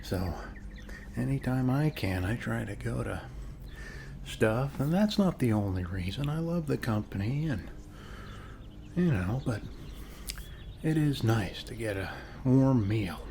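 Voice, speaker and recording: A man speaking softly and unhurriedly in a friendly, casual ASMR roleplay, as a neighbor chatting one-on-one, with frequent pauses. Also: mid-range voice, animated, vocal fry, a little hiss or hum. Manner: soft-spoken, friendly, unhurried, pausing often. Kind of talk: ASMR roleplay, one-sided casual chat over coffee.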